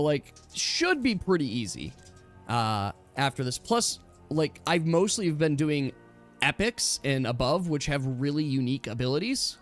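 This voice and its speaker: Higher-pitched man chatting as game master. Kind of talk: casual chat with players.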